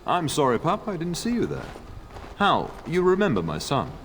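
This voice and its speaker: A man's voice: fairly high pitch, wildly swooping pitch, normal speed, a bit bright, slight background noise. An actor playing a jovial older noble lord speaking to his son in a game. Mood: hearty. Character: noble older male lord, hearty father.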